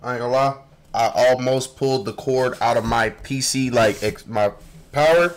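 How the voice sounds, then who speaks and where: A man's voice: medium pitch, moderate pace. A man in his twenties hosting a reaction video, chatting casually in slangy, sweary language from his bedroom. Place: small bedroom, indoors.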